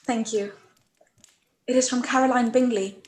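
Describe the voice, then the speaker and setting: Woman acting gentle young gentlewoman, echoey room. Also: on the high side, fairly even intonation, moderate pace, lightly acted. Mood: hurt, resigned. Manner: mild, reasoning, formal period speech. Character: Jane Bennet, gentle, trusting young gentlewoman. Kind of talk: period radio play live read. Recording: echoey room with clear background noise.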